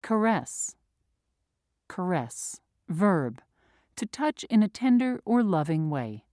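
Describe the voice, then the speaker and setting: A woman's voice: lowish voice, very animated, slow, darkish tone, dry recording, studio quality. A woman reading vocabulary words and their definitions aloud with careful dictionary-style enunciation. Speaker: vocabulary reader. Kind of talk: slow, clear read-aloud of word definitions.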